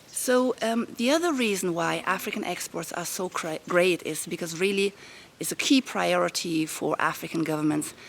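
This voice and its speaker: A woman with a rough voice, giving upbeat step-by-step business advice to camera from a garden outdoors. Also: on the low side, slight background noise. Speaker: business coach. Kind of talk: casual talk to camera. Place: garden of a private villa, outdoors.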